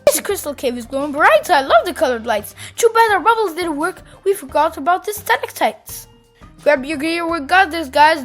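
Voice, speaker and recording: A woman voicing an excited, determined child adventurer in a children's storybook, eager and full of exclamation. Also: sits high. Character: excited, determined young kid hunting a yeti.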